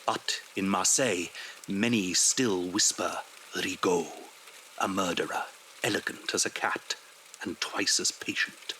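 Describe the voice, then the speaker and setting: A man's voice: low voice, a little slow, pushed and bright, slight background noise, low-quality recording. A man reading dramatic scripted narration as the narrator of an audio drama.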